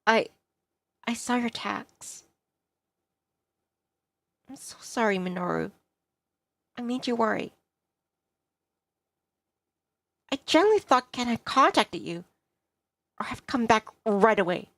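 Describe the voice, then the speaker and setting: A woman's voice: a little high, animated, unhurried, vocal fry, pauses often, fairly bright tone, no echo, heavily acted. A woman playing a flustered, apologetic young female office worker who stammers hesitantly. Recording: music behind the voice.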